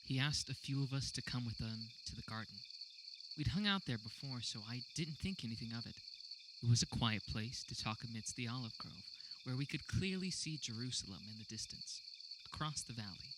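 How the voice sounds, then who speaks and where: A man's voice: medium pitch, expressive, a little fast, natural voice. Man playing a troubled disciple amid chirping crickets. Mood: reflective. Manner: casual, reflective storytelling. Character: troubled, plain-spoken male disciple recalling the night in the garden. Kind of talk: dramatic first-person radio theater monologue. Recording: clear background noise of night insects. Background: crickets and outdoor night ambience.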